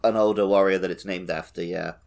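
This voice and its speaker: A man's voice: sits low, fairly even intonation, fairly quick, creaky, darkish tone. A man co-hosting a podcast, talking casually through a video game discussion. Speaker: podcast co-host.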